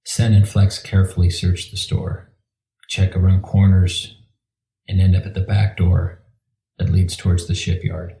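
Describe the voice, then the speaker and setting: Very deep male narrator in a roomy space. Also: level tone, a little slow, mellow. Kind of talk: scripted narration reading scene directions. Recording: roomy echo.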